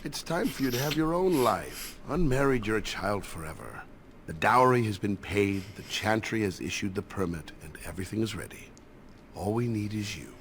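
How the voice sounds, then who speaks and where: An actor playing a gentle, wistful, patient elf father of middle age in a video game. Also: lowish voice, lively intonation, normal speed, noisy background.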